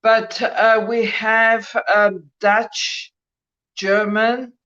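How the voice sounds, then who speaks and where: A woman with a mixed South African and Italian accent, a guest on a video call, telling a story slowly with many hesitations. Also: a little high, flat delivery, a bit bright. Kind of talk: casual chat, recounting a dream experience. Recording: muffled bad connection.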